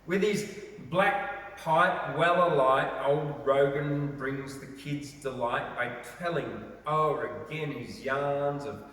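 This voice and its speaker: A man reads verse aloud in an expressive, theatrical storytelling style. His pace is slow and unhurried. The recording is heavily reverberant, with the voice sitting well back from the microphone. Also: medium pitch. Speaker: poetry reader. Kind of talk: dramatic poetry recitation. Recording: very echoey, reverberant, distant from mic.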